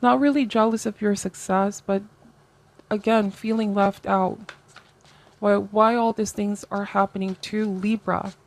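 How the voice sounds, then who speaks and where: A woman with a medium-pitched voice reads tarot for her viewers. She talks conversationally and reflectively, offering guidance as she goes and checking in with small tags seeking agreement. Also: fairly even intonation, unhurried. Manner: reflective, advisory, seeks agreement with tags like okay and right. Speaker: tarot reader, host.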